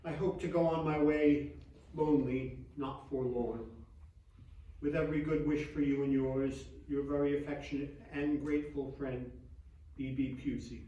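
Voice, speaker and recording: A man's voice: mid-range voice, fairly even intonation, normal speed, dark tone, muffled recording, natural voice. An actor playing a grieving, devout and humble Victorian clergyman in a theatrical reading of letters. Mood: grieving, grateful. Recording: distant echoey hall stage recording.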